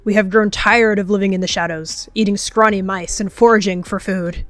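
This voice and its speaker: A woman's voice: mid-range voice, fairly even intonation, normal speed, dry recording, soft background music, natural voice. A woman voicing a scheming, menacing cat who leads a gang, declaiming orders in an online roleplay game. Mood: menacing, rallying. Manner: commanding, declamatory.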